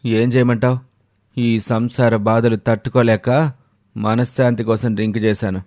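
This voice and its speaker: A man's voice: medium pitch, flat delivery, measured pace, a little mellow, no echo, studio quality, natural voice. Bitter, complaining young husband acted by a man. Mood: defensive. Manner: complaining, resentful. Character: young husband, bitter, self-pitying, irritable.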